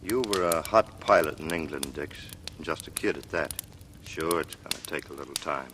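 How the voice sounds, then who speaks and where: An actor playing a hearty, big-brotherly police detective with an American accent, bantering emphatically in a 1940s radio drama. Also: male voice, very deep voice, normal speed. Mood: concerned. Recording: noisy vintage radio recording.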